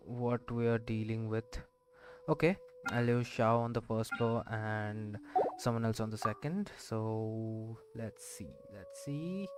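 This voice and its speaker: A man giving casual gameplay commentary as a streamer, thinking aloud in a rambling, hesitant way. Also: on the low side. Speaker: gaming streamer. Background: loud game music.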